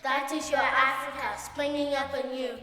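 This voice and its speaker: A young girl reciting a poem in a solemn, measured way. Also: sits high, little pitch movement, pushed and bright, reverberant, in a large hall. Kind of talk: poetry recitation.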